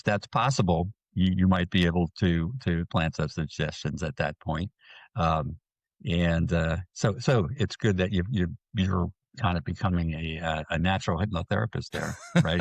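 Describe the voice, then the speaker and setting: A man, a hypnotherapist guest, explaining in a slow way with many restarts and fillers. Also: low voice, creaky, no echo. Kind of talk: explanatory interview talk.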